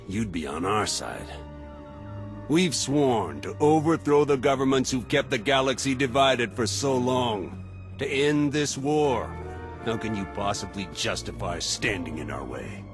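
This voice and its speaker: An actor as a slow-spoken, defiant rebel soldier. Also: male voice, medium pitch, expressive, over music, lightly acted. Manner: confrontational, wavering. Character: defiant, doubting soldier turned cult rebel. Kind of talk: video game cutscene acting.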